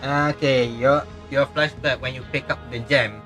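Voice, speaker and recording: A man chatting casually while streaming a game. His voice is bright and forceful at a medium pitch, with music behind him. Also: fairly even intonation, unhurried. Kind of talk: casual livestream reaction chat.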